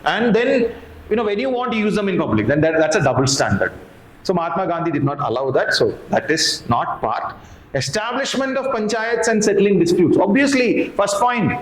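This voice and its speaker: An Indian-accented man lecturing as an exam coaching teacher, emphatic, repeating phrases and asking questions that he answers himself. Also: high-pitched. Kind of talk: explanatory lecture to camera. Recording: echoey room with background noise.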